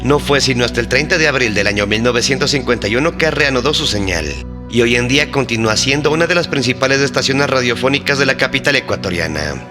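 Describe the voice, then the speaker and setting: A man hosts and narrates a scripted mystery documentary. His mid-pitched voice tells the story in a formal, measured, dramatic way, and music plays clearly underneath. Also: lively intonation. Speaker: narrator and host of a mystery channel.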